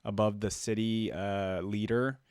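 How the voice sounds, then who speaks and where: A man's voice: on the low side, steady tone, unhurried, a little mellow, a little hiss or hum. Enthusiastic casual male reaction host. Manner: informal, enthusiastic, thinking aloud.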